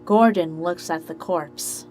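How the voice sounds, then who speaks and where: A woman's voice: on the low side, takes it slowly, darkish tone, soft background music. A woman reading scripted narration for an amateur audio drama story.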